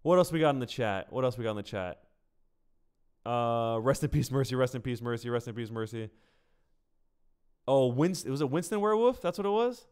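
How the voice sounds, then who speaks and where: Clear-voiced man streaming casual game commentary. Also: medium pitch, animated, brisk, pauses often, darkish tone. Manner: conversational, addresses viewers directly. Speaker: streamer and gaming news host. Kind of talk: casual livestream gaming commentary.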